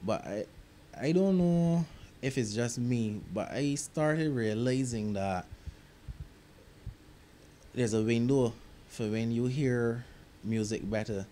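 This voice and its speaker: A man's voice: medium pitch, slow, long pauses, slight background noise. A young Barbadian man, a music producer, talking casually and reflectively about his work in an interview. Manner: relaxed, reflective, drops into local grammar. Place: recording studio.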